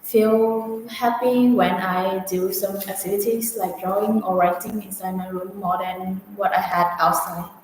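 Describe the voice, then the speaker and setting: A woman answers test questions slowly, pausing often and filling gaps with hesitant sounds. Her pitch is mid-range for a woman. She is in a very echoey room with long reverb and faint noise behind her. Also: fairly even intonation. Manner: hesitant, halting answers with many fillers. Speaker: test candidate. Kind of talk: practice speaking-test answers.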